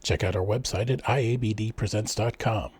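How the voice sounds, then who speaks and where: A man's voice: on the low side, a little fast, a little mellow, husky. A man narrating and announcing a scripted radio serial.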